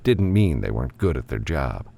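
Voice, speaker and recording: A man's voice: extremely low pitch, very animated, normal speed, gravelly, mellow. A man reading scripted fiction as an audiobook narrator.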